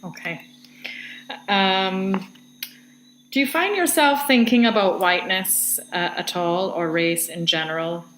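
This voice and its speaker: A woman's voice: medium pitch, unhurried, fairly bright tone, slight background noise, low-quality recording. A woman hosting an interview, asking questions with hesitations and fillers. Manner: hesitant, searching for words. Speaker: interviewer and project host.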